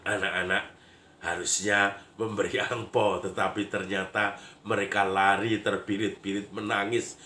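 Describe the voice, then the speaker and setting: Hoarse priest guy with a cold, lowish voice, slow and chuckly, echoey room. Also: flat delivery, pushed and bright. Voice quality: husky, a little nasal. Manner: chuckles often, storytelling; playful, mimics lion dance sounds. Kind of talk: homily.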